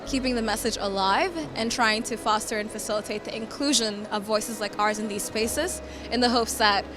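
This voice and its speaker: A woman's voice: on the high side, steady tone, moderate pace. A young woman from Sudan, raised in Philadelphia, answering interview questions about her poetry in an earnest, reflective way, often repeating words as she thinks. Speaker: poet and climate refugee advocate. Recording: clear background music under voice.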